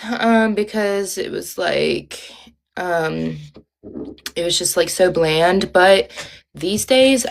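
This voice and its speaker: A young woman with a Midwestern Ohio accent, chatting casually and rambling as she hosts a livestream, with lots of ums and likes. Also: mid-range voice. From Ohio, USA. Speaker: livestream host.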